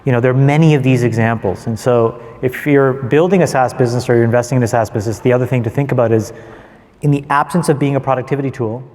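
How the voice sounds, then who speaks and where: A man's voice: mid-range voice, fast, darkish tone. A man co-hosting a live panel, explaining points by posing questions and answering them himself. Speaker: podcast co-host on panel. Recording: reverberant conference hall.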